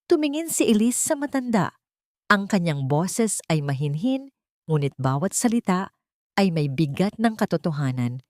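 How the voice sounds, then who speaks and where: A woman narrates a dramatic love story in a fairly low voice. The delivery is measured and solemn, and the sound is dry and close, with little room around it. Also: big pitch swings, no room sound.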